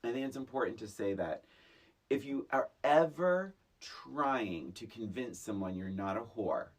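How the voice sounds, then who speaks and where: A man's voice: mid-range voice, lively intonation, unhurried. A man co-hosting a podcast, chatting casually and sarcastically as he recaps a film. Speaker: podcast co-host.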